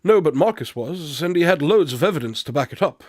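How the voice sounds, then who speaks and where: A man playing a shrewd, unflappable detective in a radio drama, measured and knowing as he confronts a suspect. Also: on the high side, lively intonation, no room sound, studio quality. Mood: accusing, confident. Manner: measured, knowing, coolly accusatory. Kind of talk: amateur radio drama acting.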